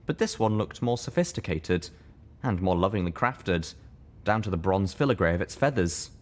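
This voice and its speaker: A man's voice: deep voice, lively intonation, moderate pace, darkish tone. A man reading a scripted first-person story aloud as its narrator. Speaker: fan fiction reader, narrator.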